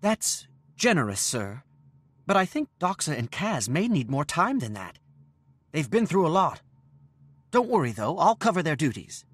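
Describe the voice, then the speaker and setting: A man playing a steady, dutiful gnome-like adventurer in a video game, earnest with a wry streak. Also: on the high side, normal speed, pauses often, husky, dry recording, full character voice. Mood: reassuring. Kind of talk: video game cutscene acting.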